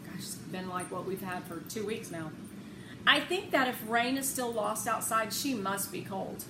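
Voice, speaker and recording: A woman narrating a children's novel aloud in an expressive storytelling style. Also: medium pitch, normal speed. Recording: echoey room with clear background noise.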